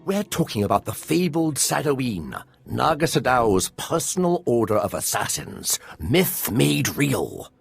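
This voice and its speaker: An actor playing a giddy, scholarly tomb-raiding archaeologist in a video game, effusive and theatrical in manner. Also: male voice, mid-range voice, big pitch swings, a little slow, vocal fry, breathy edge, full character voice. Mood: eager excitement. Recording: music behind the voice.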